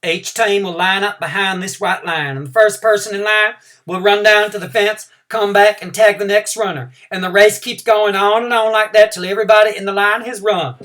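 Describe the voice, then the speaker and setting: A woman voicing a patient kindergarten teacher who explains the rules clearly and carefully. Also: medium pitch, steady tone, moderate pace, few pauses, fairly bright tone, recorded from a distance, pitched above the performer's own voice, close to an everyday voice.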